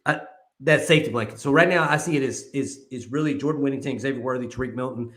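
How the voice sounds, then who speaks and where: A man's voice: mid-range voice, fast, fairly bright tone. A man co-hosting a casual sports talk show, loose and rambling with lots of filler words. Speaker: co-host. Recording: echoey room.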